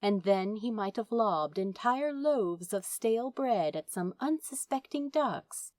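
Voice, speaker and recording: Expressive, slow-paced woman narrating a story. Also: mid-range voice, no echo, clean studio sound. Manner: dramatic, deliberate phrasing with drawn-out words. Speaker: audiobook narrator.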